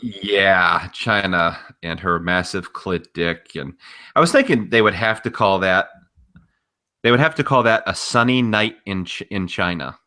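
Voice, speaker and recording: A man with a fairly low, very bright and forceful voice talks through wrestling news as a podcast co-host. His delivery is casual but blunt and opinionated, with profanity thrown in freely. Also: unhurried.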